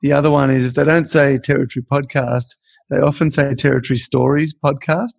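A man's voice: mid-range voice, steady tone, fairly quick, vocal fry, no echo. A man co-hosting a podcast, chatting lightly and a little wryly. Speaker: podcast co-host. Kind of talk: casual podcast chat.

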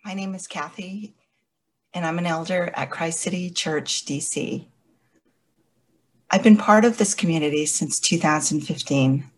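A woman who stutters, a church elder, giving a measured, reflective personal faith testimony. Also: on the low side, breathy edge.

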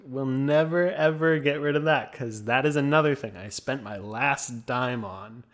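A young man from the American Midwest with a slight Minnesota accent, a guest talking casually and softly with many fillers. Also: medium pitch, a little slow. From Midwest USA. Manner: soft-spoken, trailing off, many fillers. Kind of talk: casual interview.

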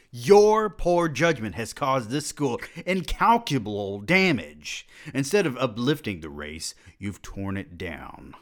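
A man acts out a domineering older college president who barks questions and scolds with contempt. The voice is deep and booming, with a slow, heavy delivery. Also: full character voice.

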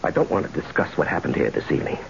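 An actor playing an anxious, pleading company man and husband in an old-time radio drama, earnest and halting. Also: natural voice. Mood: agitated, worried. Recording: hissy, muffled old radio broadcast.

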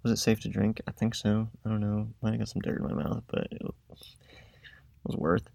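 A young man, a student making a class podcast, telling a travel story in a casual, self-described monotone voice. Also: fairly low pitch, normal speed, creaky, dry recording. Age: young adult.

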